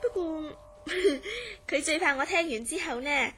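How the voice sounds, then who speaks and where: A high-pitched, inquisitive woman in a horror radio play. She speaks expressively, with a puzzled, wondering edge, over background music. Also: expressive, slow pace, a bit bright, exaggerated voice. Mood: earnest, mysterious. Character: curious, astonished woman recounting a friend's strange dreams. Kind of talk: Cantonese horror radio drama acting.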